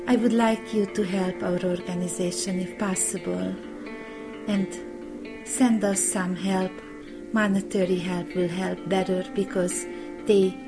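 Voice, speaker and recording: A woman with a Romanian accent speaks slowly and carefully, her grammar showing she learned the language later. She makes a plain appeal for her charity. Guitar music plays clearly beneath her voice. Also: medium pitch, low-quality recording. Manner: slow, careful, non-native grammar. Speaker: charity founder making an appeal. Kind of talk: scripted donation appeal. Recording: music playing under the voice.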